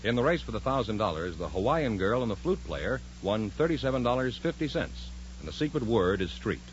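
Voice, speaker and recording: A man's voice: medium pitch, sing-song, a little fast. An American man making formal announcements for a 1950s radio quiz show, on an old broadcast recording. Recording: muffled, faintly noisy old radio recording.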